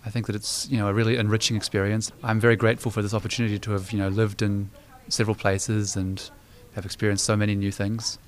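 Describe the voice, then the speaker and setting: A man's voice: on the low side, quick pace, hardly any pauses. A man in his twenties with a New Zealand accent, chatting casually about his life, with many fillers. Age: 20s. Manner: relaxed, chatty, many "you know" fillers. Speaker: interviewee. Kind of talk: personal storytelling.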